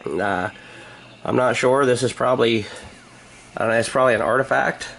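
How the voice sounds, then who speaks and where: A man's voice: mid-range voice, moderate pace, vocal fry, a bit bright, slight background noise, rough recording. An American man vlogging in a rambling, casual chat, with a wry, joking, sarcastic manner. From United States.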